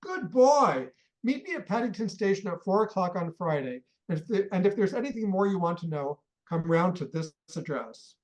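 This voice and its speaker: A rich, shrewd employer in a radio play, laying down terms in a blunt, businesslike way. The delivery is commanding and highly animated, with plenty of rise and fall in the voice. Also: female voice, normal speed. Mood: brisk, approving.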